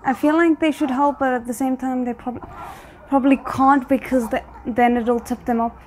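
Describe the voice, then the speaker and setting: A woman giving casual, spontaneous reaction commentary as a video host, thinking aloud in an animated, exclamatory way. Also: high-pitched, moderate pace, a little hiss or hum, poor audio.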